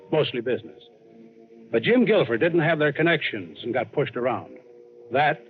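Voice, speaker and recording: An actor playing an adventurer host, narrating a scripted yarn in an old-time radio drama. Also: male voice, mid-range voice, lightly acted. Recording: tinny old radio recording. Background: dramatic underscore music.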